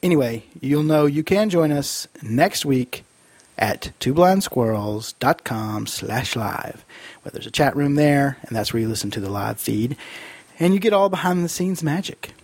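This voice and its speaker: A man co-hosting a podcast in casual, easygoing and rambling chat, his voice husky from a cold. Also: a little high, animated, a little slow, a little mellow, no room sound. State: fighting off a cold. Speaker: podcast co-host.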